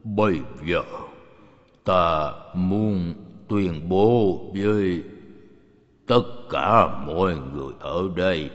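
A man playing a dying old rich father in a dubbed cartoon, solemn, tender and deliberate. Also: sits low. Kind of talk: dubbed animation acting. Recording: muffled audio with long reverb.

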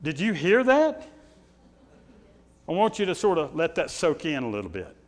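Loud, animated middle-aged preacher. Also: male voice, on the high side, moderate pace. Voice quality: loud, carrying voice. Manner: animated preaching, pacing and gesturing, rhetorical questions. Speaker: pastor. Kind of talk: sermon. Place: church sanctuary, indoors. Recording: reverberant church sanctuary.

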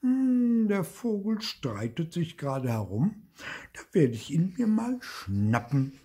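Sneaky cat thinking out loud, higher voice, hmm-ing, husky, old guy reading it. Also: very animated, heavily acted. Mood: scheming. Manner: musing, drawn-out hums. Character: sly scheming cat, sneaky and calculating.

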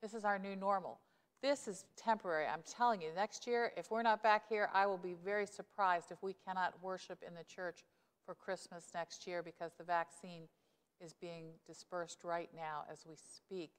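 A woman's voice: fairly low pitch, steady tone, normal speed, clean tone. A middle-aged woman pastor gives an earnest, conversational sermon, with emphatic rises, on a lapel mic in a church sanctuary. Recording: long church reverb.

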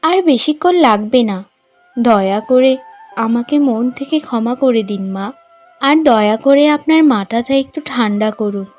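High-pitched, dutiful young bride played by a woman. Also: normal speed, darkish tone, lightly acted. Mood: pleading. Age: young adult. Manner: pleading, deferential. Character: patient, dutiful young village bride, sweet and pleading. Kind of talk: cartoon voice acting. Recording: music behind the voice.

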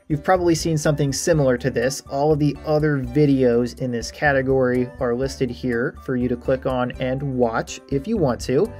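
A man's voice: medium pitch, mellow. A man giving an informal, explanatory screen-share walkthrough as an online tutorial creator. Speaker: math tutorial creator giving a site tour. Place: voice-over for a screen recording.

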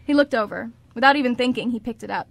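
Female radio drama narrator, scripted read, slightly high voice, dry sound. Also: quick pace, vocal fry, no room sound.

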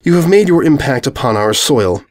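A man's voice: medium pitch, lively intonation, normal speed, pitched above the performer's own voice, lightly acted. A man voicing a stern, haughty pony princess who speaks formally and with sarcasm in a storybook reading.

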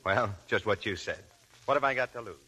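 An actor playing a polite, hesitant young army lieutenant in a 1940s radio drama. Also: male voice, on the low side, fairly quick, sharp tone, poor audio. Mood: reluctant. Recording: 1940s radio broadcast with music.

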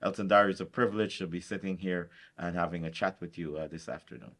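A man's voice: sits low, fairly even intonation, fast, a bit bright. A middle-aged man conducting a conversational sit-down sports interview, often repeating words for emphasis. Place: furnished indoor room, seated.

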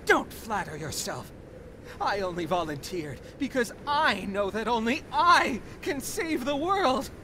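An actor playing an arrogant, hate-filled young noble mage in a fantasy game, haughty and sneering. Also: male voice, very high voice, very animated, measured pace, noisy background, exaggerated voice. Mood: haughty indignation. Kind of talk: dramatic fantasy game voice acting.

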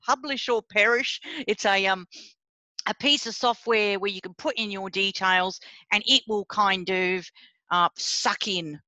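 Bright-voiced blunt woman professor. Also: mid-range voice, a little slow, no echo, rough recording. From Sri Lanka. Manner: blunt, chatty, addresses listeners directly. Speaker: professor leading a seminar. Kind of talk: informal academic talk on a video call. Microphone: video call.

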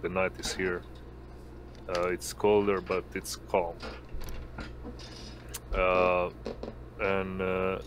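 A man runs a tabletop game in a very low, slow voice. He narrates off the cuff, haltingly searching for words, with clear noise on his home mic. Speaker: game master. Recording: noisy home voice-chat microphone.

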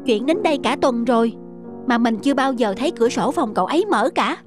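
A woman's voice: on the high side, lively intonation, quick pace, music in the background, lightly acted. A woman voicing a kind, helpful young boy in a cartoon, earnest and encouraging. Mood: sympathetic. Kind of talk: cartoon dubbing.

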